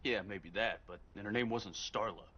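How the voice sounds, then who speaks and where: A man's voice: on the low side, normal speed. An actor playing a cocky, wisecracking young male monster hunter in a TV drama, in muffled audio. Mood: wry. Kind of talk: TV drama acting. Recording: muffled TV audio, loud background noise.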